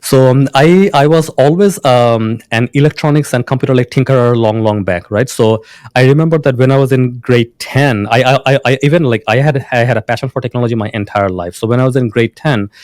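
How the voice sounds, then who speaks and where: Man, halting founder talk. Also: medium pitch, darkish tone, no echo. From Bangladesh. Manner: restarts often, many fillers. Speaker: founder and guest. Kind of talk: casual interview talk.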